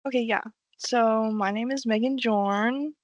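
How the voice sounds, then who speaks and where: A woman's voice: on the high side, a little slow. A young woman from Illinois, an intern, chatting casually as a guest and peppering her talk with "like". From Illinois, United States. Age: young adult. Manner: informal, frequent "like". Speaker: engineering intern, podcast guest. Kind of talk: casual chat.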